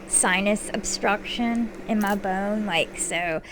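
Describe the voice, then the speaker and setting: A woman nail artist talking casually through a tutorial vlog, stuffy-nosed with audible breathing and halting restarts. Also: medium pitch, fairly bright tone, a little hiss or hum, low-quality recording.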